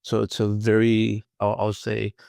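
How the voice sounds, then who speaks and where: A man's voice: lowish voice, monotone, measured pace, gravelly, a little mellow, no room sound, clean studio sound. A middle-aged man from Iraq, a guest answering interview questions in a hesitant way, with many fillers and restarts. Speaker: guest, analytics professional.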